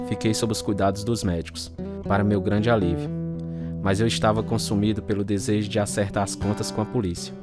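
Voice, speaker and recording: Guy acting troubled bitter alcoholic man, brazilian accent, lowish voice, radio drama story, clear guitar music behind. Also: natural voice. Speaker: narrator and protagonist of a radio testimony drama. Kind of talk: dramatized first-person radio narration. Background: acoustic guitar music.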